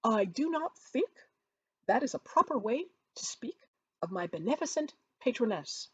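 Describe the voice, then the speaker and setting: A woman's voice: a little high, very animated, moderate pace, fairly bright tone, breathy edge, dry recording, voice pushed up, full character voice. A woman voicing a pompous, anxious, obsequious podgy clergyman in an audiobook, with a prim, stammering and flustered manner. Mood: indignant. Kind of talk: audiobook character voice.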